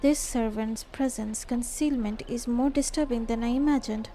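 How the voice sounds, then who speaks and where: A woman's voice: on the high side, steady tone, normal speed, mellow. A woman streamer reading a game's story dialogue aloud. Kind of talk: live stream read-aloud of game script. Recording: faint game music behind. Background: faint music.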